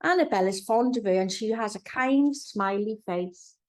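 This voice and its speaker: A woman narrating a storybook for young children in an expressive storytelling manner. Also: medium pitch, moderate pace. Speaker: author reading own children's book.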